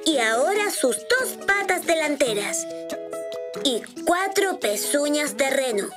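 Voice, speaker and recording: Woman as a little cartoon boy, high voice, cheerful, helpful, instructive, bouncy intonation, music underneath. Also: very animated, takes it slowly, exaggerated voice. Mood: explaining. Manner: patient, instructive, enthusiastic. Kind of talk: dubbed children's cartoon acting.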